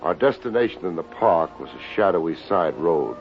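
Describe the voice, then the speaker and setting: An actor playing a cocky, hardboiled private detective in an old-time radio drama, narrating and bantering in wry slang. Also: male voice, low voice, animated, moderate pace. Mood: wry narration. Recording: muffled old radio recording with music.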